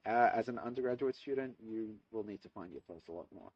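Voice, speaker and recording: A man's voice: lowish voice, fast talker, no room sound. A young man, answering interview questions about his studies in a thoughtful, hesitant way, with many fillers and restarts. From Australia. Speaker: interview guest, archaeology student. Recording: distant mic, muffled lo-fi sound.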